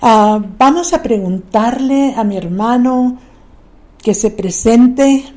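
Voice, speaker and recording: Unhurried woman hosting a church broadcast. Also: on the high side, lively intonation, mellow. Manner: affirming interjections, unhurried. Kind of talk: live ministry broadcast.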